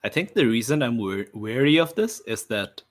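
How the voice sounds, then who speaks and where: Male tabletop player, casual and thinking aloud, animated intonation. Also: medium pitch, normal speed.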